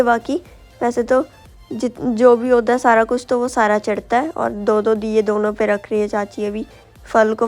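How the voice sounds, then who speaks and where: A young woman with a sore throat, narrating her family's festival rites as a vlog voice-over, explaining each step. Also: on the high side. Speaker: vlogger.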